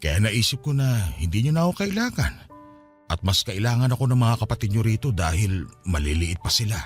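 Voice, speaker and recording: A man's voice: fairly low pitch, big pitch swings, fairly quick. An actor playing a remorseful father of grown children in a radio drama, hesitant and apologetic as he pleads. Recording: dramatic music behind the voice. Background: music.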